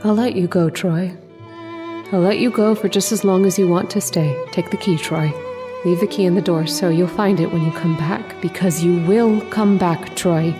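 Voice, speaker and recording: A woman's voice: fairly low pitch, fairly even intonation, normal speed, music in the background, lightly acted. A woman playing a possessive, adoring dream lover, tender, coaxing and insistent, in an old-time radio horror drama. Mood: resigned, knowing.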